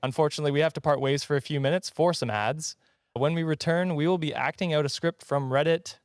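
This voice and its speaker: A man's voice: a little high, fairly even intonation, fast talker, no room sound. Dry-recorded man hosting a comedy podcast. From Canada. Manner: jokey, swears casually. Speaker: podcast host. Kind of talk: casual comedic storytelling with banter.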